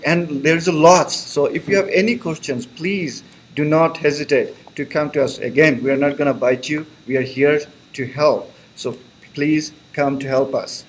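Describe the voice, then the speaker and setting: Male student advisor. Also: a little high. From Bangladesh. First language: Bengali. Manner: friendly, repeats reassurances, loose grammar. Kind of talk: live online information session.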